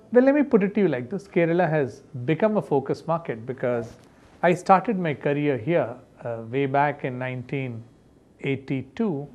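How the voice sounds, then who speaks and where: A man's voice: medium pitch, darkish tone, highs cut off. Confident executive in his fifties. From India. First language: Tamil. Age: 50s. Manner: confident, expansive, pauses with "uh" between points. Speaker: company executive, interview guest. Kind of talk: interview answers.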